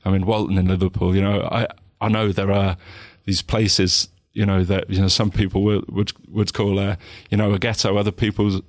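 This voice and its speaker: Hesitant soft-spoken southern English man. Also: sits low, little pitch movement, normal speed, hardly any pauses, no echo, clean studio sound. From England. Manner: hesitant, many restarts and you-knows. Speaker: guest. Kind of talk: reflective interview talk.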